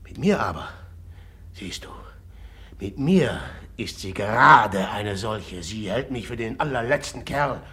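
Husky, brooding jealous lover. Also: male voice, on the low side, lively intonation, measured pace, pushed and bright, heavily acted. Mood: agitated, bitter. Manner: bitter, brooding, broken phrases sinking toward a whisper. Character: brooding, jealous, volatile man tormented by love. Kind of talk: classic German radio drama acting.

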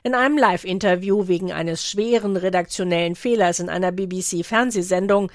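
A woman's voice: fairly low pitch, moderate pace, dry recording, studio quality. A woman reading a scripted radio report as a foreign correspondent.

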